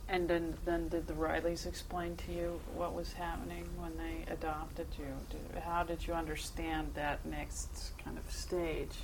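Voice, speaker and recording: A woman interviews with gentle, attentive follow-up questions. Her voice sits low for a woman, with faint background noise in the recording. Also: completely flat delivery, unhurried, hardly any pauses. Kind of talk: oral history interview.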